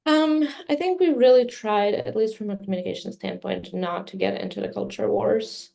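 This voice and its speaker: A woman whose first language is Serbian, answering interview questions in a measured way with many fillers. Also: mid-range voice, gravelly, dark tone. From Midwest US. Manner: measured, many fillers, speaks of herself personally. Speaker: communications director, interview guest.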